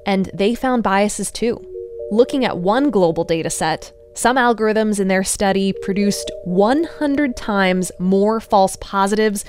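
A woman's voice: medium pitch, a little slow, a little mellow, soft background music. A woman reporter explaining research in a conversational podcast style.

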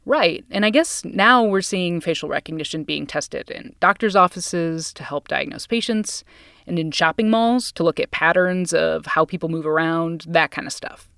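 A woman's voice: on the low side, normal speed, gravelly, a bit bright, no room sound, clean studio sound. An American woman co-hosting a podcast in a casual, informal and playful conversational style. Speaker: podcast co-host.

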